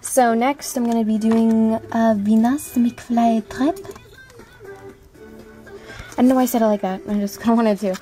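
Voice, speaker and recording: Girl just rambling casually to viewers, high soft-edged voice, comments on herself. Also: steady tone, measured pace, long pauses, faint music behind. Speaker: amateur voice auditioner.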